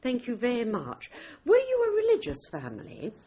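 A woman's voice: a little high, wildly swooping pitch, normal speed, mellow. A woman interviewing for an oral history, asking careful, polite questions. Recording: muffled archival tape.

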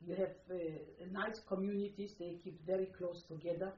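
An older woman from Poland with a Polish accent, speaking in an oral history interview. Her phrasing is halting and non-native, and she repeats words as she searches for them. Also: mid-range voice, steady tone, dark tone, slight background noise. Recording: muffled roomy archival tape.

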